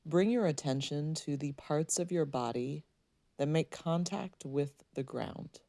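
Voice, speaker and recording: A woman leading a guided meditation, speaking in a soothing, gently instructing way with unhurried pauses. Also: sits low, dry recording.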